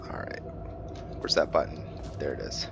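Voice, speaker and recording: A man giving casual let's-play commentary, curious and thinking out loud, now and then dropping to a hushed whisper. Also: normal speed, faint music behind. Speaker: gameplay commentator.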